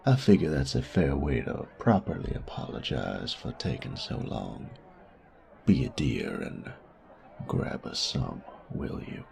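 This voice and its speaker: A sadistic male bandit, acted by a man for an audio drama, with an extremely deep, gravelly voice. He is crude and mocking, taking his time over each taunt and sliding into breathy murmurs. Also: huge pitch swings, unhurried, darkish tone, heavily acted. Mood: sardonic, casual. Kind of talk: audio roleplay drama acting.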